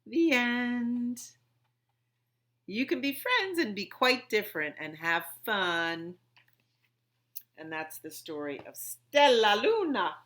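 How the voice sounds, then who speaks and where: A woman's voice: a little high, sing-song, takes it slowly, long pauses, a bit bright. A woman hosting storytime for young children, reading picture books aloud and explaining things simply and expressively. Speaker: children's library storytime host.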